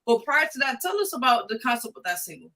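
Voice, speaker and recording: A woman's voice: a little high, big pitch swings, fast, pushed and bright. A woman hosting a live radio show, interviewing in a casual way. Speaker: radio host.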